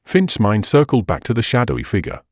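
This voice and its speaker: A man reading scripted detective mystery narration in a measured, dramatic storytelling manner. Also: fairly low pitch, wildly swooping pitch, no room sound, studio quality. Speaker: audiobook narrator.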